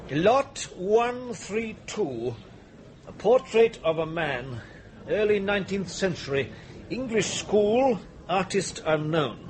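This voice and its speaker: A formal auctioneer in a radio play, declaiming in a high voice with big swings of pitch. Faint room ambience sits behind him. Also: male voice, sing-song, takes it slowly, slight background noise, exaggerated voice. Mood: formal, businesslike. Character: brisk male auctioneer calling out a lot. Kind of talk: 1970s radio drama acting.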